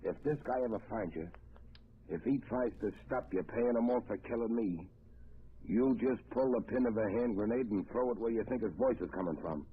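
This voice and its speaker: An actor playing a condemned, defiant male gangster in a 1930s radio drama, with gruff tough-guy talk. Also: fairly low pitch, brisk. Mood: scheming. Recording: thin phone-like old radio broadcast.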